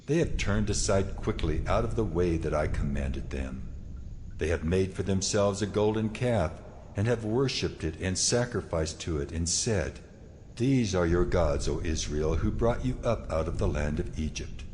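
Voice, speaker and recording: An actor playing a mighty, wrathful God in a dramatized scripture recording, speaking with solemn, weighty command. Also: male voice, low-pitched, animated, moderate pace, darkish tone. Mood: stern, indignant. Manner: solemn, declamatory, weighty delivery. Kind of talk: dramatized scripture audio acting. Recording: long cavernous reverb, noisy ambience.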